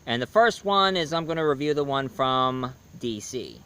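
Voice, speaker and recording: A man giving a casual spoken review, hoarse, rambling and correcting himself mid-sentence. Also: mid-range voice, lively intonation, moderate pace, pushed and bright. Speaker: movie and comics reviewer.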